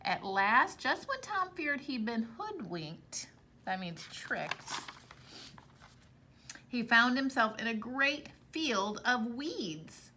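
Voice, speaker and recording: A woman reading a storybook aloud to children like a teacher, expressively, pausing to explain things to her young listeners. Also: on the high side, sing-song, sharp tone, slight background noise.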